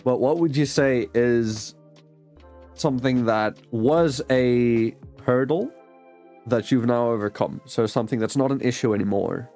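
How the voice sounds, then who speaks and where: A man's voice: mid-range voice, measured pace, mellow, faint music behind. A man from South Africa with a South African accent and Afrikaans as his first language, hosting a casual interview, laughing often and restarting his sentences. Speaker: host.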